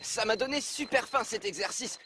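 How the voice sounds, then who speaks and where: A clumsy, cheerful young fireman in a French-dubbed cartoon. His voice sits high, with exclamations and sheepish self-mockery. Music plays underneath. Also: moderate pace. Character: clumsy, hungry, cheerful young male firefighter. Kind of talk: French animated series dubbing.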